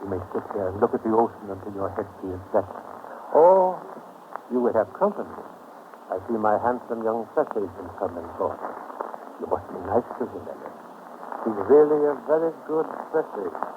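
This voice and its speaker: An actor playing a domineering, sinister stage mentalist in a deliberate, imperious 1940s radio style. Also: male voice, lowish voice, sing-song. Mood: smooth, sinister. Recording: noisy, tinny 1940s radio recording.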